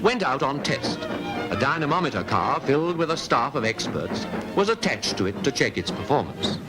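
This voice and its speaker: Animated British 1950s newsreel man. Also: medium pitch, normal speed. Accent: British Received Pronunciation. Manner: formal, polished, emphatic delivery. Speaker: newsreel narrator. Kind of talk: 1950s newsreel scripted narration. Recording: vintage 1950s newsreel soundtrack with music. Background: music.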